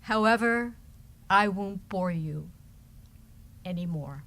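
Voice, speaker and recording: A woman giving a deliberate, dramatic reading of a love letter. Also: mid-range voice, a bit bright. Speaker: reader in an amateur readers theater. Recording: background noise, live room ambience.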